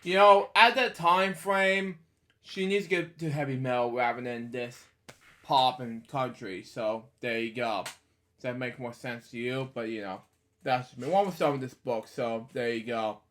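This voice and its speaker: A man's voice: medium pitch, a bit bright. A man in his twenties hosts a casual read-aloud, stumbling over words and wandering into off-the-cuff asides with repeated catchphrases. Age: 20s. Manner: halting, stumbles over words while reading. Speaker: host of a story reading series.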